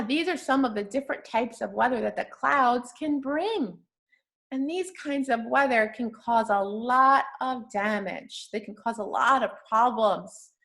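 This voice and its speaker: A woman's voice: a little high, a bit bright. A woman reading aloud to young children like a teacher, using simple, patient, encouraging language and asking questions as she goes. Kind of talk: interactive children's read-aloud with questions and praise.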